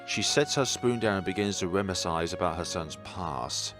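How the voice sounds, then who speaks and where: A man's voice: low-pitched, normal speed, music in the background. A man hosting a let's-play, reading a visual novel aloud and reacting casually between lines.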